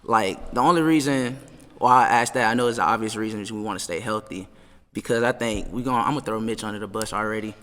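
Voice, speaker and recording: A man's voice: fairly low pitch, fast, a bit bright, long reverb tail. A man hosting a casual podcast chat in a gym, talking in a loose, laid-back way. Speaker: podcast host.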